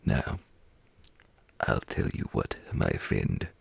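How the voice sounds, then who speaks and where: A man voicing a gruff old gentleman boss in a bedtime story, growling with formal, deliberate politeness. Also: dry recording, natural voice. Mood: mock stern. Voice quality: growling, gravelly. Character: old gentleman boss, gruffly feigning anger, secretly merry.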